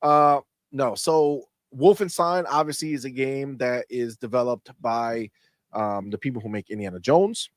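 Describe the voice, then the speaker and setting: A man co-hosting a casual gaming live stream, stuffy-nosed, talking in an animated way, swearing freely and laughing often. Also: medium pitch, a little slow, no room sound, clean studio sound. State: stuffy nose. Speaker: gaming podcast co-host.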